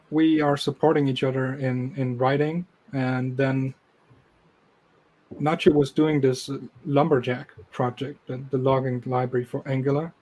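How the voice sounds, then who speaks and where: A man guest on a developer podcast, explaining things in an even, matter-of-fact way. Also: medium pitch, rough recording. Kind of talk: casual interview talk.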